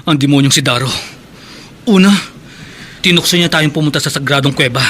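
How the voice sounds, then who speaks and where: A man's voice: on the high side, brisk, fairly bright tone, a little hiss or hum, lightly acted. Actor as tense, guilt-ridden Filipino fisherman. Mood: fearful, accusing. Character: Paolo, guilt-ridden, suspicious fisherman and sardine maker. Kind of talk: Filipino horror radio drama acting.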